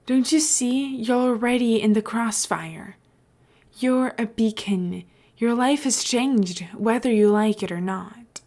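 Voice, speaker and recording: A woman reading the part of a calculating male mayor and would-be villain in a story, persuasive, measured and businesslike. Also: a little high, a little mellow. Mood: coaxing.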